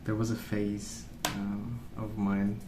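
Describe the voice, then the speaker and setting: A man speaking softly in a hushed, unhurried ASMR ramble, musing as he goes. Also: on the low side, monotone, echoey room, background noise. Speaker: ASMR video host. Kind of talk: soft-spoken ASMR rambling while colouring.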